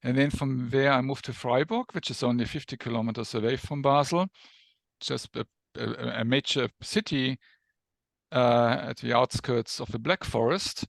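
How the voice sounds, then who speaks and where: German guy, academic guest explaining stuff, gravelly creaky voice, really dry close sound. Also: mid-range voice, moderate pace, no room sound. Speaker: guest professor, neuroscience researcher. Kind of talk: interview, explanatory talk.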